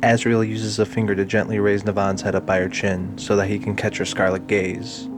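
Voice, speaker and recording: Low-pitched male drama narrator. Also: monotone, a little fast, over music. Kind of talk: scripted audio drama narration.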